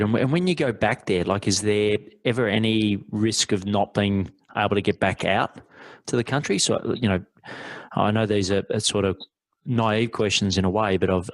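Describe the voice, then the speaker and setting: A man's voice: lowish voice, dry recording. A man hosting a podcast interview, asking friendly and encouraging questions. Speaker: podcast host. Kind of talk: casual interview.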